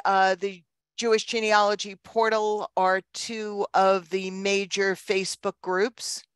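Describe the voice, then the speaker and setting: An older woman answering questions as a guest lecturer, explaining thoughtfully and haltingly in an informal talk. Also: medium pitch, fairly bright tone, smooth, no room sound. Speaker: guest lecturer, genealogy researcher. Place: indoors on a video call. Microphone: headset microphone.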